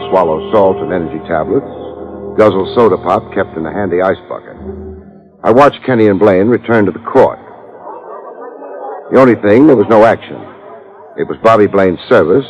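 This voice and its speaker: An actor playing a wry, tough private detective in a 1950s radio drama, sardonic and slangy in both narration and dialogue. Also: male voice, sits low, lively intonation, moderate pace, a little mellow. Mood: dry narrating. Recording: muffled old radio recording with music.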